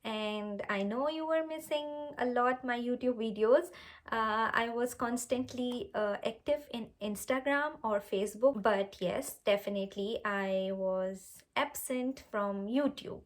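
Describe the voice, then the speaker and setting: A young woman with an Urdu accent, a cooking channel host chatting casually to camera, unhurried with many fillers. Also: a little high. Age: young adult. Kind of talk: casual direct-to-camera vlog.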